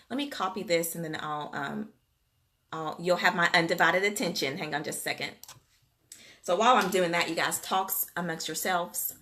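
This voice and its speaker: A woman's voice: lowish voice, bright, forceful tone, slight background noise. A woman with a Southern US accent, hosting a casual livestream and chatting folksily with viewers. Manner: chatty, folksy, addresses viewers as "you guys" and "y'all". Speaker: livestream host, daycare business coach. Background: Gulf Coast.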